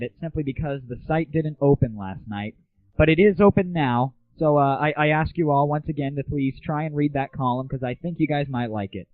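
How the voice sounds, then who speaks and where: A man hosting an internet comedy radio show, talking casually in crude slang. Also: medium pitch. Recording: lo-fi, phone-like narrowband audio.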